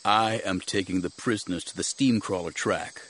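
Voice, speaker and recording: A grim warrior-monk master, acted by a male narrator for an audiobook. He speaks very low, in terse, controlled commands, stern and unyielding. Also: moderate pace, fairly bright tone. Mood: cold, resolute.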